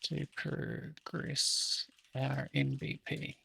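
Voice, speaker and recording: A man with a gravelly, husky voice talking casually to himself as he hosts a think-aloud software tutorial. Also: medium pitch, flat delivery, extremely slow. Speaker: app developer hosting a coding session.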